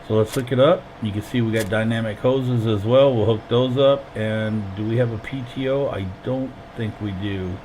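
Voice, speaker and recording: A man's voice: lowish voice, fairly even intonation, moderate pace, few pauses, darkish tone, slight background noise, rough recording. A man streaming a farming game while sick and losing his voice, explaining each step as he plays into a headset. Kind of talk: casual gameplay commentary.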